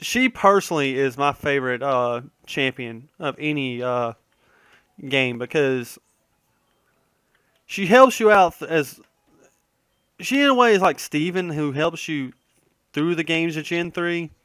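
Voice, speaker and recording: A man's voice: a little high, expressive, measured pace, long pauses, a bit bright, smooth, no room sound, studio quality. A man giving casual, rambling gaming commentary, conversational and opinionated, often trailing off and correcting himself. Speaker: gaming video host.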